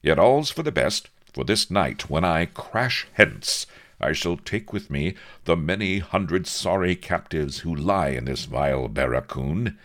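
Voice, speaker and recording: A man's voice: sits low, animated, measured pace, fairly bright tone. A man voicing a young, heroic naval captain, pompous and noble, with stern, grandiloquent old-fashioned speech in a comic storybook reading. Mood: cool, lofty. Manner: grandiloquent mock-archaic speech, stern and cool.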